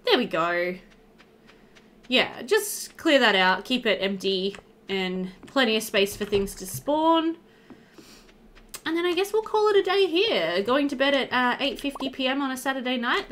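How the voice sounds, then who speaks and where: A woman's voice: mid-range voice, a bit bright, a little hiss or hum. A woman in her thirties giving casual, chatty let's-play commentary, easygoing and thinking aloud as she plays. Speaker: gaming video host.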